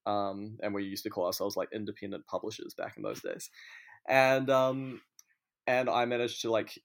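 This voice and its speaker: A man's voice: on the low side, steady tone, normal speed, gravelly. A man in his thirties with a New Zealand accent, talking casually and telling stories as an interview guest. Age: 30s. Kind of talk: casual conversational storytelling.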